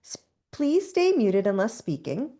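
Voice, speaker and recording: A woman's voice: on the high side, very animated, a little slow, mellow. A woman moderating an online webinar, reading the housekeeping reminders and the speaker introduction from a script. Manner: reads from a script.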